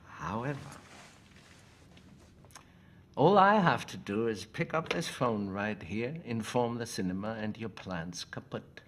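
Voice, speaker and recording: An actor playing an urbane, menacing Nazi officer, with a German accent and a polite, precise, savouring manner. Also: male voice, fairly low pitch, lively intonation, moderate pace, fairly bright tone. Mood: coolly threatening.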